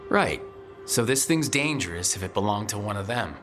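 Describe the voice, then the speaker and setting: A man's voice: lowish voice, expressive, normal speed, lightly acted. An actor playing a direct, wry young male hero in a video game, in a conversational manner. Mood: serious. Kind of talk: naturalistic video game acting. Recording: music behind the voice.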